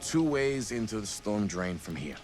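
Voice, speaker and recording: A man's voice: fairly low pitch, very animated, moderate pace. An actor playing an easygoing young man in naturalistic game dialogue, guiding his friends. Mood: matter-of-fact. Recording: loud music behind the voice.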